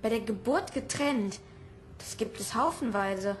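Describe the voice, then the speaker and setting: A woman's voice: a little high, lively intonation, a little slow, a little hiss or hum. A woman playing a small, dreamy orphan boy in a German audio drama, earnest and anxious. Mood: hopeful, stubborn. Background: music.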